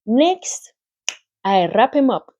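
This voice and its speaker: A woman's voice: fairly high pitch, sing-song, slow, dark tone, highs cut off, pitched above the performer's own voice, full character voice. A woman reading a storybook aloud, voicing a clever, matter-of-fact female spider in a pleasant, thin voice with a put-on accent. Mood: demonstrating. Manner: put-on accent, relishing, lecturing.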